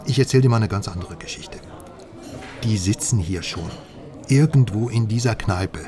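A man's voice: fairly low pitch, normal speed, a crowd in the background, natural voice. An actor playing a brash, mocking West German private detective, teasing his friend in a radio crime drama. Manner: teasing, sarcastic.

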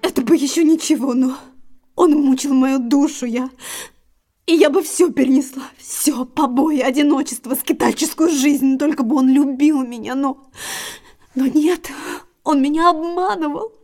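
An actress playing a battered, betrayed wife in an audio drama, husky and breathy, halting and anguished. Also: female voice, high-pitched, dark tone. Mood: anguished, bitter. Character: battered, betrayed wife, weak, wounded and bitter. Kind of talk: emotional audio-drama acting. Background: music.

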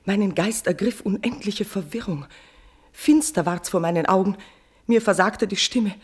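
An actress playing a guilt-tormented, passionate queen in a classical verse tragedy for radio, declaiming with shifts between hushed and forceful delivery. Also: mid-range voice, animated, normal speed, slightly rough. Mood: guilty despair. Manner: declamatory, swinging from whispers to outbursts.

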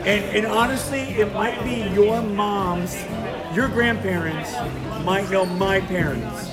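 A man's voice: high-pitched, slow pace, a loud crowd. A tired man giving a casual interview as director of a convention. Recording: music behind, busy venue.

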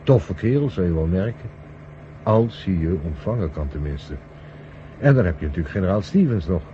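Sceptical mission controller, dull old recording. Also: male voice, low voice, expressive, normal speed, slight background noise. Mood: matter-of-fact. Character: Sam, sceptical, matter-of-fact male Mission Control colleague. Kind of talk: 1970 radio play acting.

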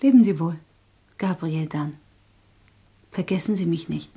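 An actress playing a wistful, gently reproachful young woman in intimate radio drama dialogue, soft and restrained. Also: fairly low pitch, unhurried, husky.

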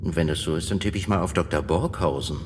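A man's voice: sits low, fast, music in the background, lightly acted. An actor playing a dry, sardonic male doctor who speaks precisely and slips in sarcastic remarks in a radio play. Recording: reverberant room.

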